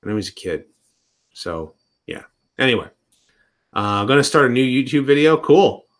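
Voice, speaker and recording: A man's voice: medium pitch, very animated, normal speed. A man in his thirties chatting casually on a live stream from home, rambling and often restarting his sentences. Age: 30s.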